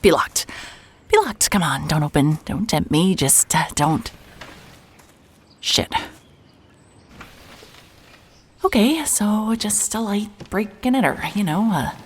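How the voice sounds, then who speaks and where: A woman's voice: medium pitch, lively intonation, measured pace, a little hiss or hum, close to an everyday voice. A woman acting as a hard-hearted, wry and nervy private investigator in a cinematic audio drama, muttering to herself as she works. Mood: nervous. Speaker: lead actor and narrator of an audio drama. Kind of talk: cinematic audio drama, first-person noir narration and case dictation.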